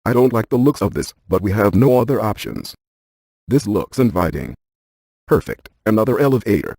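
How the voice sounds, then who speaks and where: A cautious, intellectual ghost-hunting scientist for a video game. The voice is low and mellow with a husky, gravelly grain. He stays deadpan and exact, as if reading out instrument data. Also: normal speed, no room sound, low-quality recording. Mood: dry, focused. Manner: deadpan, precise, technical. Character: brainy, deadpan paranormal scientist man, cautious and precise. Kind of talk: scripted video game character lines.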